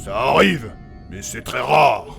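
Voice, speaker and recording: A man playing a brutish, impatient, bloodthirsty adventurer in a comedic fantasy audio drama, with a gruff, crude, slangy way of talking. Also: medium pitch, animated, takes it slowly, heavily acted. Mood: casually bloodthirsty. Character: brutish, impatient, bloodthirsty adventurer who wants to bash everything. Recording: music behind the voice.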